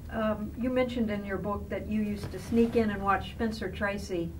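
A woman's voice: medium pitch, fairly even intonation, moderate pace, recorded from a distance, slight background noise, rough recording. Off-mic woman asking interview questions.

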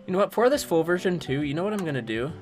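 A man's voice: on the high side, expressive, rapid-fire. Young man, casual streamer, sarcastic and chatty, faint music underneath. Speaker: level-building streamer. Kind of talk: casual commentary with voice-over narration.

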